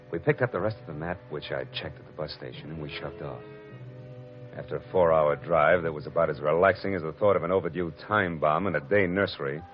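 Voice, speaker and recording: An actor playing a wry, wisecracking hard-boiled private detective who narrates a 1940s radio drama. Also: male voice, low-pitched, natural voice. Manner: dry, wisecracking, clipped. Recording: lo-fi old radio broadcast with music.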